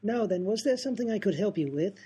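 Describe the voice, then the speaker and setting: A prim, haughty aristocratic lord, as a man plays him in a radio comedy. He speaks in a formal, stiff way with a husky edge. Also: sits high, animated, quick pace, mellow. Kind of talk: comic radio play acting.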